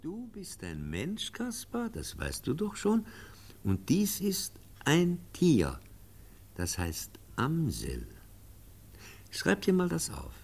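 An actor playing a kindly, patient professor and tutor in a radio play, gentle, courteous and explaining. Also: male voice, on the high side, wildly swooping pitch, slow, long pauses, husky, full character voice. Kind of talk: German radio play acting.

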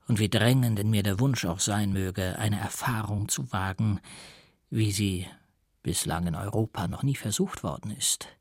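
A male reader plays a mysterious occult doctor from a Gothic audiobook tale. He speaks grandly and theatrically, with a gravelly, husky edge. His manner is zealous and faintly scornful, as if every phrase were an incantation. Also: on the low side, no room sound, studio quality, heavily acted. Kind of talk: dramatic audiobook character acting.

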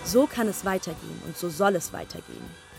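A woman's voice: fairly low pitch, wildly swooping pitch, creaky, over loud music. Polished woman narrating a radio feature. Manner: measured, polished delivery.

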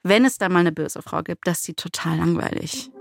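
A woman's voice: fairly low pitch, moderate pace, vocal fry, no room sound, clean studio sound, faint music behind. A woman co-hosting in casual discussion, informal and opinionated. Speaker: co-host.

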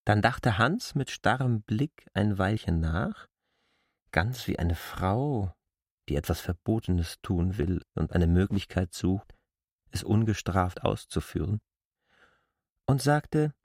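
A man's voice: lowish voice, lively intonation, a little slow, darkish tone, no echo, studio quality. A man giving a scripted narration of literary fiction. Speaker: audiobook narrator.

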